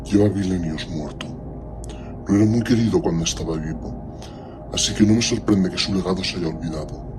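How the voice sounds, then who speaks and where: A man's voice: deep voice, a little fast, music in the background, lightly acted. A man playing an ancient superhuman warlord in an audio drama, speaking with cold, commanding disdain and menace. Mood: cold, menacing. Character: ancient imperious superhuman warlord primarch, menacing and coldly condescending.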